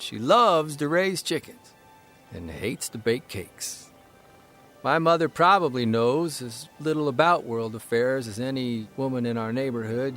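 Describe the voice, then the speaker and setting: A man voicing a young newspaper columnist, telling a story in a measured, fond way. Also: on the high side, a bit bright. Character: young male newspaper columnist, earnest, reflective. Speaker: actor reading a column in character. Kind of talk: scripted narration and radio drama acting.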